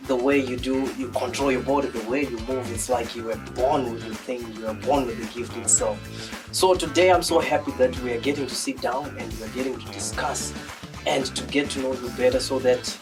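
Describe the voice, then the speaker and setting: A man's voice: mid-range voice, fairly quick, distant mic, over music. A man hosting an interview, asking questions in an encouraging way and backing the guest up with frequent "mm-hmm"s. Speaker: interviewer host. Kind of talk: interview conversation.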